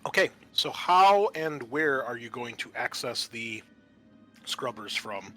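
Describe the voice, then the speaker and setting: Bright-voiced man running a tabletop game. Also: medium pitch, lively intonation, takes it slowly, soft background music. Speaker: host and game master. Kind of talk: narrating a tabletop roleplaying game, unscripted.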